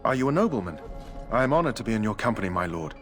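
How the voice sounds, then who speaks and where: Earnest polite knight, fantasy game, talks fast and formal, music faint behind. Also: male voice, fairly low pitch, very animated, fairly bright tone. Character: earnest, courteous knight eager to prove himself.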